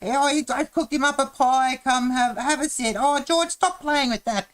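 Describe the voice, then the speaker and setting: A fussy older housewife fusses over her family in a shrill, loud falsetto. She sounds flustered and doting, pitched far above a normal speaking voice. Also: normal speed. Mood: fussing. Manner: flustered, fussing.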